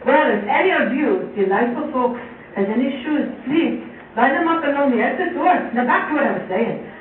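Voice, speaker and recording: A woman's voice: sits high, lively intonation, brisk. A woman voicing a cheerful, folksy male leprechaun narrator in a children's puppet show, theatrically addressing the audience and lamenting with drama. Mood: jovial, welcoming. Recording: muffled, echoey far-off recording, faint noise.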